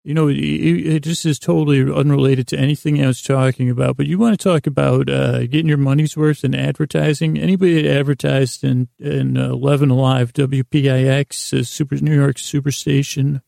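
A middle-aged American man hosting a bedtime podcast, rambling in a halting, digressive, self-deprecating way with a lulling, soothing tone. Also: medium pitch, fairly even intonation, gravelly, warm tone, no room sound, clean studio sound.

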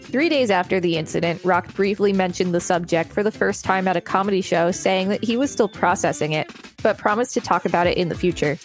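A woman's voice: fairly low pitch, brisk, faint music behind. A woman co-hosting a podcast, moving between reading an article and casual chat. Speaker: podcast co-host.

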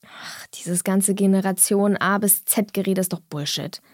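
Young woman on a podcast giving blunt opinionated answers, mid pitch, very dry sound. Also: steady tone, a little slow, a little mellow, no echo. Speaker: interview guest. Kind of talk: conversational interview answers.

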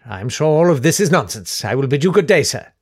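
A man's voice: fairly high pitch, sing-song, a little fast, breathy edge, no room sound, pitched above the performer's own voice. A polite, naive traveller from a comic story, acted out by a man. He talks with prim formality, as a visiting valuer would, in a dry, close sound. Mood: polite, brisk.

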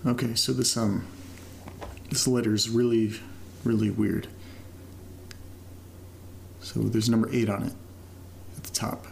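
A man playing an uneasy amateur investigator in a found-footage audio drama, muttering haltingly and casually in a gravelly voice. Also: on the low side, little pitch movement, a little hiss or hum. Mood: puzzled. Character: uneasy amateur investigator recording a creepy cellar discovery.

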